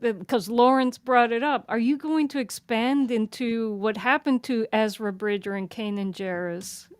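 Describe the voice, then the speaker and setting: A woman with a fairly high voice, recorded very dry, in a casual podcast discussion. She speaks as a panelist, starting and restarting phrases in a halting way. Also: clean tone, no room sound. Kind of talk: casual chat.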